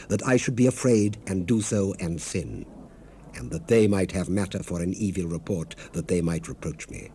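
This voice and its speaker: An actor playing a solemn, devout biblical governor, declaiming archaic scripture in a measured, formal way on an old cassette recording. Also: male voice, sits low, dark tone. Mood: solemn, resolute. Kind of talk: dramatized scripture reading in formal archaic English. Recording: hissy unprocessed 1970s cassette rip.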